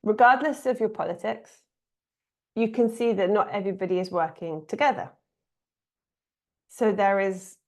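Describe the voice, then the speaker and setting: A woman with an English accent, giving a reflective spiritual talk in a calm, musing way, pausing often. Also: mid-range voice. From England. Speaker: spiritual teacher.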